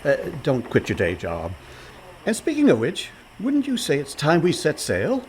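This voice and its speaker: A man's voice: fairly high pitch, huge pitch swings, normal speed, slight background noise. An actor playing a cheerful, scheming and overconfident con man in a comic radio play, breezy and persuasive. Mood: upbeat.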